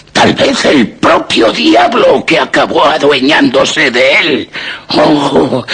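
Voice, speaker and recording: Solemn village elder, Castilian accent, very husky gravel, sharp edge, deliberate radio drama delivery. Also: male voice, medium pitch, heavily acted. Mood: sorrowful. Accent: Castilian Spanish. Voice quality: raspy, creaky. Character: pious, cautious village elder urging mercy and proof. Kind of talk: Spanish radio drama acting.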